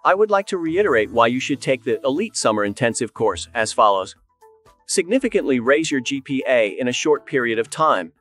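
A man's voice: medium pitch, brisk, faint music behind. A man in his thirties, a school presenter, giving a polished scripted promotional talk to camera in a studio. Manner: polished, even delivery to camera. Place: studio, voice-over on graphics.

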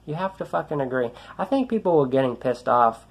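A man's voice: mid-range voice, very animated, brisk, husky. Young man ranting into his phone. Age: young adult. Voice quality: light, youthful-sounding voice. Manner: frustrated, rambling, swearing, many restarts. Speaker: vlogger. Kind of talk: ranting selfie vlog. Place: small room indoors, then outdoors on a road. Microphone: phone held at arm's length.

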